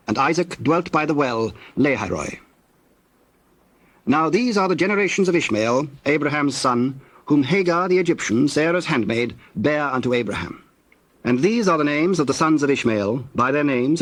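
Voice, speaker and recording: A man narrating scripture from a script in a measured, formal storytelling manner. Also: medium pitch, fairly bright tone, no echo.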